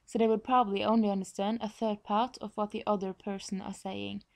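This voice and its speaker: A woman's voice: medium pitch, flat delivery, brisk, vocal fry, a little mellow. Norwegian-accented woman giving a presentation. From Norway. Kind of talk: prepared informational presentation.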